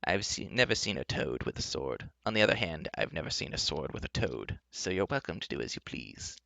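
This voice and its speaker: A man voicing a plump, cheerfully menacing old enchantress from a storybook, coaxing and indulgent as she calmly threatens. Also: low-pitched, brisk, vocal fry, no echo, pitched below the performer's own voice, exaggerated voice. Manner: kindly, coaxing, matter-of-fact about threats. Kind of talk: storybook character reading.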